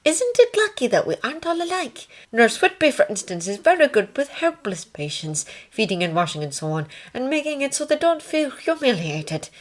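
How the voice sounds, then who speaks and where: A woman voicing a tactful senior army nurse, measured, motherly and precise, in a story reading. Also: sits high, sing-song, voice pushed up. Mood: tactful, earnest.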